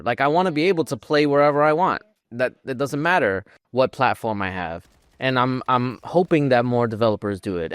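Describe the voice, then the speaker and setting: Man, podcast co-host, smooth clear tone, dry studio-like sound, casual opinionated gaming chat. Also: medium pitch, dry recording.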